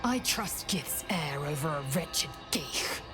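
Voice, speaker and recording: A woman's voice: low voice, lively intonation, slow, over music. An actress playing a blunt, hostile female warrior in a fantasy video game, speaking in a curt, scornful way. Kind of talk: video game drama acting.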